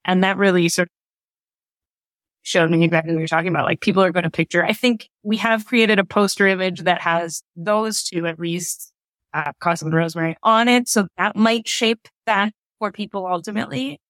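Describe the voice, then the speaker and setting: A woman's voice: fairly low pitch, brisk, a bit bright, no echo. A woman speaking as a guest in a casual interview, telling anecdotes in a rambling, conversational way. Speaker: guest, audio drama creator.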